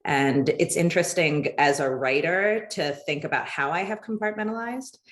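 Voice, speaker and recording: Deep-voiced enthusiastic woman educator. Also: normal speed, fairly bright tone. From Pittsburgh, United States. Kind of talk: discussion on a video call. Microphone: video call.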